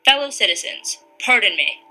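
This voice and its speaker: Woman doing a formal speech reading, mid pitch, really forceful bright tone, bit of music behind. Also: expressive, moderate pace, low-quality recording. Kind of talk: solemn recited oratory.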